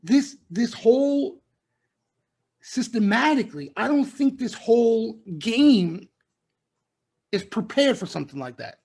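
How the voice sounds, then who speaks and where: A man's voice: very high voice, sing-song, measured pace, gravelly, long pauses, darkish tone. Blunt man checking "okay?" after every point. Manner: emphatic, punctuates nearly every point with "okay?" and "right?"; blunt street-wise slang. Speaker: trading room host. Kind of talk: live market commentary broadcast.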